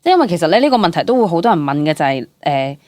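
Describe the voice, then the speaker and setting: A young woman from the Hong Kong Cantonese-speaking world, with a slightly low voice recorded close and dry. She tells stories casually and candidly, animated and chatty, slipping English words into her sentences. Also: rapid-fire, dry recording. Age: young adult. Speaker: interview guest, actor.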